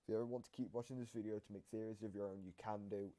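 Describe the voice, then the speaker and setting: Low, dark-voiced man explaining lore. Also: little pitch movement, racing. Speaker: reaction video host explaining game lore. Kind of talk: casual commentary and lore explanation.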